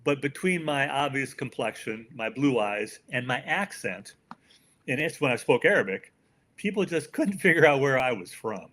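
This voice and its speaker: Older American male interview guest, mid-pitched voice, folksy and emphatic delivery. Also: lively intonation, normal speed, a bit bright. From United States. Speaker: podcast guest, author. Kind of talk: conversational interview answers.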